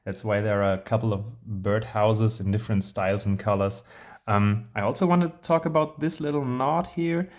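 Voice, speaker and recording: A man with a hoarse, recovering voice, hosting a casual review of a building set, hesitant with many fillers but enthusiastic. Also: lowish voice, moderate pace. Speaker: channel host reviewing building sets. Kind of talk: casual product review vlog.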